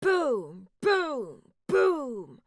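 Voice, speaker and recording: A woman's voice: sits high, sing-song, drawn-out words, pitched below the performer's own voice, exaggerated voice. A woman voicing a huge, sleepy elephant stomping along in a children's storybook play. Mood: sleepy, booming.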